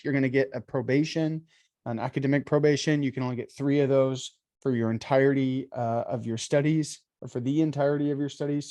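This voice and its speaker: Man, bootcamp instructor, congested and nasal; easygoing, rambling lecture delivery, warm tone. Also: on the high side, no room sound, clean studio sound. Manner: chatty, filler-heavy, self-correcting. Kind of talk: casual online lecture.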